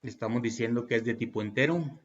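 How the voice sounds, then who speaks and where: A man's voice: mid-range voice, fast, lo-fi sound. A man teaching programming in a tutorial, walking through each step patiently with fillers and self-corrections. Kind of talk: screen-recorded tutorial walkthrough.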